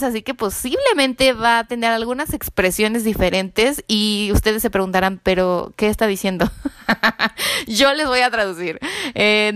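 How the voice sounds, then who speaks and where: A woman hosting a casual podcast interview, chatty and quick to laugh. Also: medium pitch, a bit bright, smooth, no echo. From Mexico. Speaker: podcast host.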